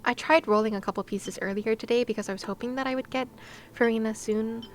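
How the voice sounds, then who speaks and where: A woman's voice: on the high side, fairly even intonation, quick pace, faint music behind. A woman chatting casually to viewers on a game livestream, with a playful, giggly, chatty manner. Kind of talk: casual livestream chat while gaming, talking to viewers.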